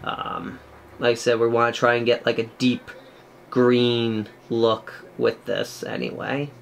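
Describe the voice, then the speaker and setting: A young man casually talking through painting a miniature, chatty and rambling, with a loud projected voice, in a small room at home. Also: medium pitch, completely flat delivery, slow pace, gravelly, slight background noise. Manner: rambling, chatty, many fillers. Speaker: miniature painting channel host. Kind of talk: casual tutorial commentary while painting.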